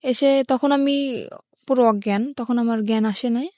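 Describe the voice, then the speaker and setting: Young bangladeshi woman telling her life story on a show, highish voice, dry sound. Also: moderate pace, warm tone, no echo. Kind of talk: personal storytelling in an interview.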